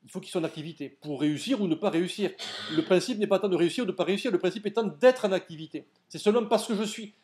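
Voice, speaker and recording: A man lecturing at a seminar, his voice sitting rather high for a man. He explains things step by step and stops often to put questions to his listeners, in a lecture room. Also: very fast, darkish tone. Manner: didactic, addresses audience directly with questions.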